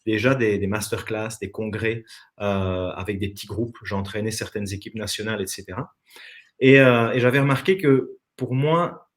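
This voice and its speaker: A man from Belgium with a Belgian French accent, telling his story as a guest in a casual online interview over a video call, earnest and reflective. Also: mid-range voice. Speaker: interview guest, former professional dancer.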